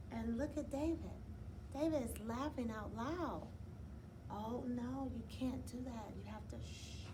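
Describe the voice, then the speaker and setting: Woman teacher, storytime read-aloud, gentle and explanatory, slightly high voice, audible background noise. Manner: gentle and explanatory, often checks in with "right?". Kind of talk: reading a picture book aloud to children.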